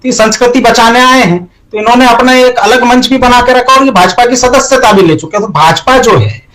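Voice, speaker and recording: A man speaks in a high register. He debates politics combatively, pressing his case with insistent rhetorical questions, as a television panelist would. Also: a little fast, fairly bright tone, rough recording.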